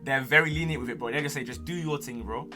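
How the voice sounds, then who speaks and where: A man's voice: mid-range voice, fast, sharp tone. A young man with a London accent chatting casually as a streamer, full of slang and cocky, playful banter. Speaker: streamer and gamer answering viewer questions. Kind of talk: casual chat to camera. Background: music.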